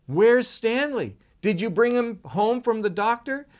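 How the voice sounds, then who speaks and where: A man voicing a young boy who is upset over his pet duck, speaking in a shrill, pleading way. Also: lively intonation, measured pace. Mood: anxious.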